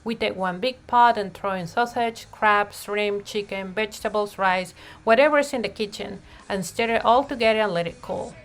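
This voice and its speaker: A woman narrating a children's picture book in an expressive read-aloud style, with deliberate phrasing and emphasized words. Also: medium pitch. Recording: music playing behind the voice. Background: music.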